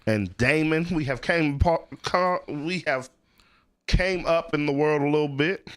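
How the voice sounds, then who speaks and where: A man co-hosting a casual podcast, talking in a slangy, conversational way with halting phrasing. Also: fairly high pitch, no echo. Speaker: podcast co-host.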